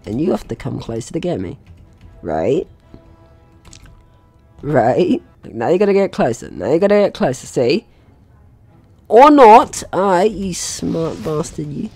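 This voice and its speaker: A man's voice: a little high, sing-song, measured pace, gravelly, pauses often, darkish tone, slightly rough, dry recording, soft background music. A man giving casual let's play commentary, chatty and jokey, talking to himself and the game's enemies. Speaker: gaming channel host.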